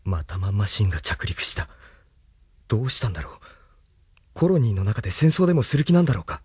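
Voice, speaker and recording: A man acting in a Japanese audio drama as an earnest, anxious young hero, with a husky, breathy voice. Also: lowish voice, very animated, fast, darkish tone, dry recording, clean studio sound, exaggerated voice. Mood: puzzled.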